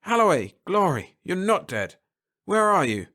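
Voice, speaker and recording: A man voicing a gruff, cursing spaceship captain who barks orders in a raised, higher voice. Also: sing-song, a little slow, bright, forceful tone, heavily acted. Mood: barking orders. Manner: barking, swearing orders. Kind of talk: dramatic story reading.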